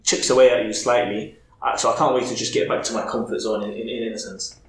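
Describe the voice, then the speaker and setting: Low-voiced man, echoey room. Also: steady tone, quick pace, slight background noise. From England. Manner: candid, thinks aloud with many restarts. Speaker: podcast guest. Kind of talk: casual interview chat. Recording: roomy echo.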